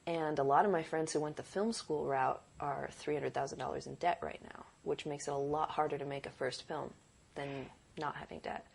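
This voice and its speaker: A woman with a low, deep voice and a gravelly edge is a guest in an easygoing interview. She speaks earnestly and thoughtfully, circling back and restarting as she works out her points. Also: fairly even intonation, moderate pace. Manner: earnest, reflective, thinks aloud with restarts. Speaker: producer and director, guest. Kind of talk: casual interview conversation.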